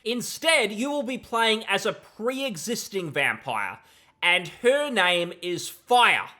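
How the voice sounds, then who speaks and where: A man's voice: sits high, sharp tone. A young adult man giving casual, opinionated reaction commentary in a sardonic, dismissive manner, on a close mic in a small room. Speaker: video commentator. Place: small plain room indoors. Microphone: close boom-arm mic, headset on.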